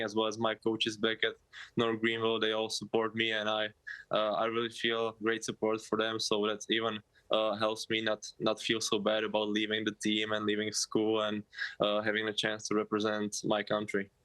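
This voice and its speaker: A man's voice: on the low side, monotone, normal speed, bright, forceful tone, no echo, low-quality recording. A man in his twenties with a Czech accent, a native Czech speaker, answering interview questions as a college athlete in a hesitant way. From Czech Republic. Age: 20s. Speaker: college baseball player, interview guest.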